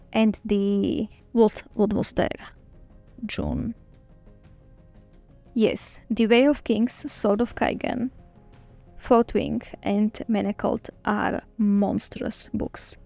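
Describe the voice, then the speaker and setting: Gravelly-voiced woman. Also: medium pitch, darkish tone, no echo. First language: Slovak. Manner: chatty, enthusiastic, with small restarts. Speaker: book vlogger. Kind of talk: casual reading-journal flip-through.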